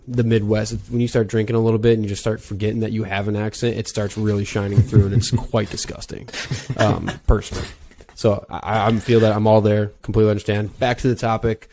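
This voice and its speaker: Podcast host, man, Wisconsin accent, lower pitch, loose rambling chat. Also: level tone, fairly quick, gravelly, noisy background. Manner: rambling, chatty, self-deprecating. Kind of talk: casual interview chat.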